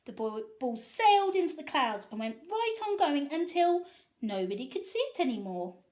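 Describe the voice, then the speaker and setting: Animated woman reading to young children. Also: sits high, normal speed. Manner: animated, asks listeners questions. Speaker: story reader for young children. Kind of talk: expressive children's storybook reading.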